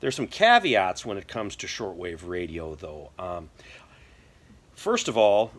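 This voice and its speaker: A middle-aged American man explaining things casually to camera in a halting, folksy way. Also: low-pitched, sing-song. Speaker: prepper video host. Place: indoor workshop or garage.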